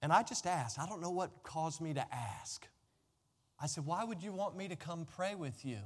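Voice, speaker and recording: A man's voice: fairly high pitch, lively intonation, normal speed. A middle-aged American man preaching a sermon in a conversational, emphatic way, with storytelling, repetition and rhetorical questions. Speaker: pastor preaching.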